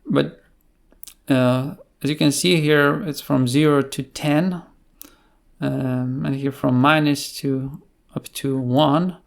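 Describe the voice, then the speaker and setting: A man presents a technical tutorial in an unscripted way. His pace is slow and his delivery halting, broken by frequent filled pauses. The voice has a noticeable gravelly fry and sits at a middling pitch. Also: steady tone. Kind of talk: screen-share tutorial, unscripted explanation.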